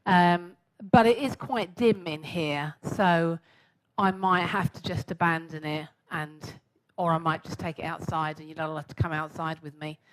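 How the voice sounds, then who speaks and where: A woman's voice: deep voice, steady tone, normal speed, poor audio. A woman in her sixties with a London accent gives a chatty, self-mocking talk to a live audience and asks them questions. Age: 60s. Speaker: guest speaker.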